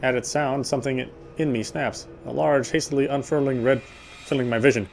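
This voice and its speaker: A man narrates a horror story game aloud as he plays. His voice sits at a medium pitch and he reads dramatically, with faint ambient noise behind him. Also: fairly even intonation, brisk, creaky.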